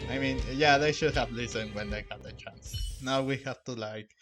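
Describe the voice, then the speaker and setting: A man's voice: mid-range voice, moderate pace. A man giving casual, rambling gameplay commentary, thinking aloud as he plays. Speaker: gamer recording a playthrough. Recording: game music behind the voice. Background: video game music.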